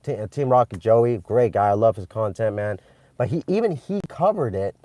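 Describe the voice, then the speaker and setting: A young man from Vietnam chatting with live-stream viewers, excitable and rambling, with many restarts and fillers. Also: on the low side, moderate pace, no echo. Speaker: channel host streaming live. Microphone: phone.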